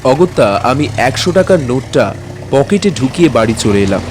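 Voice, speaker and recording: A man's voice: medium pitch, very animated, moderate pace, background noise, natural voice. An actor playing a courteous, hesitant maths tutor, telling his own eerie story in an audio drama. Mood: polite, hesitant. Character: anxious, courteous male maths tutor recounting an eerie night. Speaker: narrator and lead character. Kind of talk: dramatic first-person ghost-story narration.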